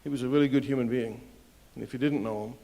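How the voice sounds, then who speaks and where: A man giving a heartfelt spoken tribute at a ceremony, hesitant at times with small pauses. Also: medium pitch, steady tone, gravelly, warm tone.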